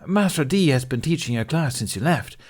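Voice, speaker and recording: Young male student, eager and earnest, higher-pitched, for narrated fiction; performed by an adult man. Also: expressive, fairly quick, a little mellow, slightly rough. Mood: excited, pleased.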